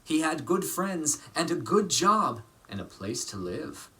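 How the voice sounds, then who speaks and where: A man reading a children's story aloud in a measured, expressive way, pausing for emphasis. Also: fairly high pitch. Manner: measured, dramatic phrasing with pauses for emphasis. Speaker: storybook reader.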